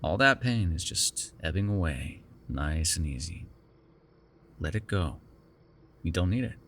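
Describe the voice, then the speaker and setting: Man acting a low-voiced, sardonic vampire. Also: lively intonation, unhurried, fairly bright tone, slight background noise, full character voice. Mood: soothing, hypnotic. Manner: teasing, sarcastic, breaking into exasperation and soothing murmurs. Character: sardonic male vampire, prickly but secretly caring. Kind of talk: ASMR roleplay voice acting.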